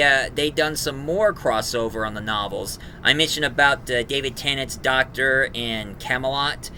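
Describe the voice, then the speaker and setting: A man giving a casual, rambling review in a halting, enthusiastic fan manner. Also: medium pitch, sharp tone, slight background noise, poor audio. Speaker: reviewer, host.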